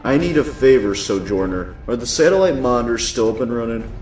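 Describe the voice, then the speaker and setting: A man's voice: mid-range voice, lively intonation, fairly quick, a little mellow, lightly acted. A man playing a serious, watchful echidna guardian and father in a comic dub. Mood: serious, reserved. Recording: echoey room, music underneath.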